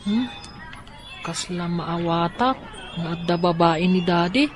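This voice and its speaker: A woman's voice: fairly low pitch, slow pace. A woman playing a worried but resolute, scheming young girl in a radio drama. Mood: resentful. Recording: faint background noise and ambience.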